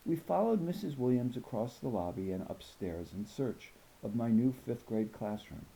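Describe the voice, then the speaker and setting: Man reading as a mellow, thoughtful schoolgirl. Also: mid-range voice, lively intonation, moderate pace, gravelly, low-quality recording. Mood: thoughtful, uneasy. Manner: precise, wordy, thoughtful. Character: observant, bookish, nervous fifth-grade girl. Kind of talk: first-person children's novel narration.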